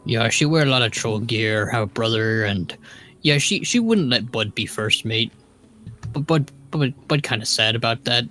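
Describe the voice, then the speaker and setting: A man's voice: medium pitch, expressive, moderate pace, sharp tone. A man voicing a simple-minded, earnest adventurer in a tabletop roleplay, speaking in broken grammar and calling himself by name.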